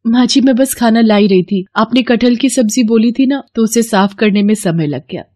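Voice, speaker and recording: A timid young daughter-in-law in a cartoon moral tale, voiced by a woman. She speaks politely and plaintively in a raised pitch, sweet and long-suffering, recorded dry. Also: normal speed, mellow, no echo, natural voice. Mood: meek, polite. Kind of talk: animated moral story dialogue.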